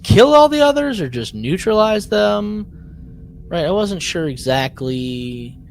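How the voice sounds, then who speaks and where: A man's voice: high-pitched, very animated, unhurried, creaky, a little hiss or hum. A man co-hosting a podcast, chatting casually and informally about what he has listened to. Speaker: podcast co-host. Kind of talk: casual chat about a sci-fi audio drama.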